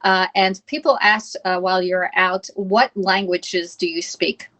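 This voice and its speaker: A woman's voice: lowish voice, fairly even intonation, measured pace, a bit bright. A woman from the United States hosting a live cooking stream, chatting casually and reading viewer comments aloud while she fumbles with the app.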